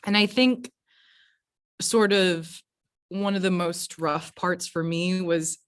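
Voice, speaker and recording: A woman with an American accent speaks in a low register. She answers interview questions casually and reflectively, feeling her way through each thought with halting phrasing. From United States. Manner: halting, reflective, frequent 'like'. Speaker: film producer being interviewed. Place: remote virtual event.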